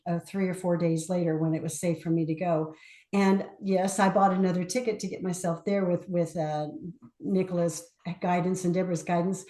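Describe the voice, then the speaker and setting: A woman's voice: on the low side, darkish tone, reverberant. An older woman telling travel stories on a webinar, with many restarts and repeated words. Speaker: guest educator. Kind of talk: casual webinar chat.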